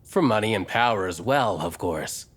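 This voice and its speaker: Man playing a smug, low-voiced villainous traitor. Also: animated, normal speed, breathy edge. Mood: smug, taunting. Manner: smug, taunting, businesslike threats. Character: smug, mercenary traitor, cruel vampire gang boss. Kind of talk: audio drama acting.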